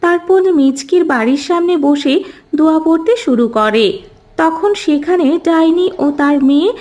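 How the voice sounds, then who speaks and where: A woman's voice: sits high, normal speed, a little mellow. A woman reading scripted narration for a cartoon story.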